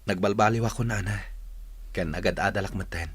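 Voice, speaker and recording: A man's voice: fairly low pitch, brisk, a bit bright, slightly rough. A man playing a lovesick, regretful young student in an audio drama, with an Ilocano Filipino accent. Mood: earnest. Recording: noisy track with chirping insects.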